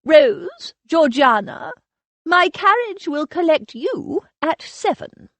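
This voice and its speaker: A woman's voice: sits high, sing-song, slow pace, a bit bright, no room sound, voice pushed up, heavily acted. A woman voicing an imperious, scornful old aristocratic aunt in a storybook reading, speaking in a disdainful, commanding way.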